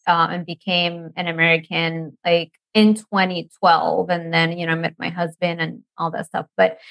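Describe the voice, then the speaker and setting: A woman's voice: on the low side, level tone, moderate pace, vocal fry, fairly bright tone, no room sound, poor audio. Chatty woman guest from Lebanon. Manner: chatty, laughs often, many fillers and restarts. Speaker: nutrition professor, guest. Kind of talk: casual interview storytelling.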